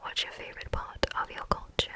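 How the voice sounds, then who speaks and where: A woman answering viewer questions in a frank, chatty ASMR whisper, often pausing on "um". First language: English.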